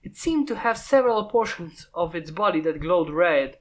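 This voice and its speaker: A woman's voice: fairly low pitch, expressive, normal speed. Woman narrating a fan story. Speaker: fan fiction reader.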